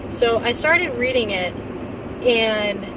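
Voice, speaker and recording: A young woman with a hoarse voice from recent laryngitis, chatting casually about a book she read while driving, with plenty of fillers. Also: on the high side, animated, takes it slowly, far from the mic. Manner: chatty, rambling, many fillers. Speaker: book reviewer. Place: inside a car on the road. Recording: car cabin with loud road noise, off-mic.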